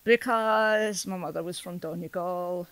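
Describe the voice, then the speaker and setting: This is a middle-aged woman with a Scottish accent, telling stories in relaxed conversation. She chats freely and laughs as she goes. The recording is close and dry, with no room sound. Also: lowish voice, unhurried, smooth, no echo. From Scotland. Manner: chatty, laughs between remarks. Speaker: storyteller guest. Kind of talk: casual podcast conversation.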